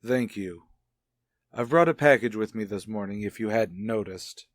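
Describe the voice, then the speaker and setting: A man playing an inquisitive child psychologist, formal and deliberate, in a radio soap opera. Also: fairly low pitch, dry recording, studio quality, voice pushed down, close to an everyday voice. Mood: measured, curious.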